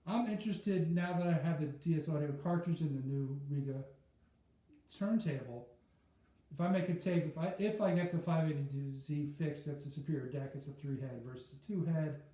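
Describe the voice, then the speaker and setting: A man's voice: on the high side, normal speed, dark tone. A middle-aged or older man chatting casually and unscripted about his hobby, rambling and hesitant as he thinks aloud. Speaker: audiophile hobbyist vlogger. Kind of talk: casual unscripted product chat. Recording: very echoey room, muffled tone.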